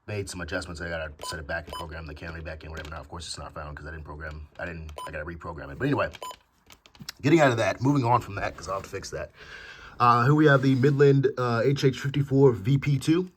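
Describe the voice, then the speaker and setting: Low-voiced American man, halting, noisy recording. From United States. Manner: halting, pausing mid-sentence, self-correcting. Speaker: hobbyist video host. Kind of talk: casual show-and-tell vlog. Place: indoor room at home. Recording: clearly noisy amateur home recording with clicks.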